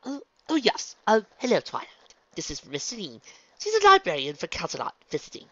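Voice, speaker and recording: A man's voice: sits high, wildly swooping pitch, a little fast, fairly bright tone, no echo, lo-fi sound, pitched above the performer's own voice, heavily acted. A man voicing a refined, theatrical female pony shopkeeper with an affected, genteel and dramatic manner. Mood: flustered.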